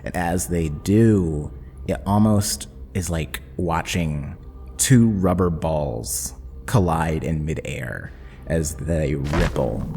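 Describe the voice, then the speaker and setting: A man with a very deep voice runs a fantasy tabletop game. He narrates slowly and dramatically in short phrases, with long reverb around his voice. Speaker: game master. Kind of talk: narrating a tabletop actual play podcast. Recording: long reverb, clear background noise.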